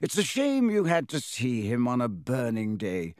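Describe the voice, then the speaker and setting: A man voicing an elderly wizard headmaster in an audiobook, serene and gently amused, measured and kindly. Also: mid-range voice, wildly swooping pitch, no room sound, clean studio sound. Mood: calm, amused. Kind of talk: audiobook character voice.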